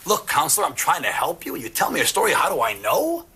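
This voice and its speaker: A man's voice: a little high, sing-song, normal speed, sharp tone, breathy edge, heavily acted. A man playing a dogged, confrontational police detective in an audio drama, blunt and pressing. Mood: accusing. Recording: music under the voice.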